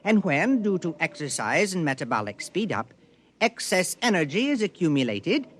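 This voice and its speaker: An actor in a 1950s radio drama playing an aloof alien explorer in human form, speaking formally in measured, deliberate diction. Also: medium pitch, sing-song, a little hiss or hum, lightly acted. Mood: lecturing, detached.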